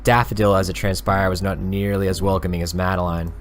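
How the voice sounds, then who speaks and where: A man's voice: deep voice, level tone, a little fast, soft background music. A man hosting a gaming channel, reading visual novel narration aloud and commenting in an informal, unassuming way.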